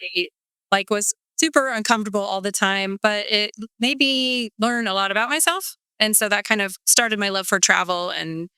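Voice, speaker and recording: A woman's voice: mid-range voice, moderate pace, sharp tone, smooth, no echo. Chatty Californian woman in her forties. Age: 40s. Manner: chatty, rambling, many fillers like um and like. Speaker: guest. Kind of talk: casual interview storytelling.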